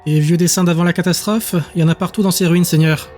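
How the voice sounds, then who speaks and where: A man's voice: sits high, steady tone, fast talker, darkish tone, soft background music, pitched above the performer's own voice, close to an everyday voice. A man voicing a formal, deferential female subordinate who reports to her master in an audio drama. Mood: uneasy, deferential.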